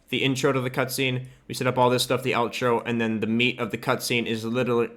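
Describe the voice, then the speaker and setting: A man with a medium-pitched voice talks through a hands-on tutorial in a casual, offhand way. He thinks aloud as he works, explaining each step informally, like someone narrating his own screen. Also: fairly bright tone. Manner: informal, loose and offhand, occasional swearing. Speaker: host of a game modding tutorial.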